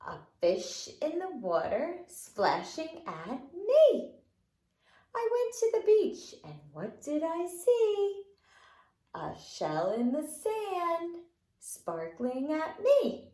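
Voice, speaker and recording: Woman, nursery teacher, high lilting voice, unhurried, playful and encouraging, rhymes and finger plays for small children, roomy echo. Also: wildly swooping pitch, dark tone. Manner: sing-song, playful, encouraging. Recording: roomy, echoing indoor sound.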